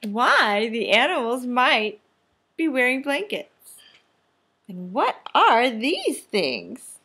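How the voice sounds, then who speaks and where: A woman's voice: a little high, sing-song, takes it slowly, sharp tone, breathy edge. A woman teacher reading a children's picture book aloud, expressively and with careful, instructive phrasing. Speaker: teacher demonstrating a read-aloud.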